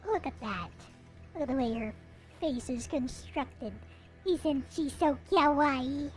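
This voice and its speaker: A woman's voice: high voice, slow. A woman giving a casual, playful show-and-tell commentary as a streamer presenting collectibles. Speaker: streamer showing collectible anime figures. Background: music.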